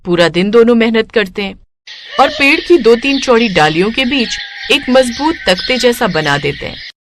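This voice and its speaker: A woman's voice: on the high side, expressive, normal speed, background noise. A woman narrating a scripted moral story as a storyteller.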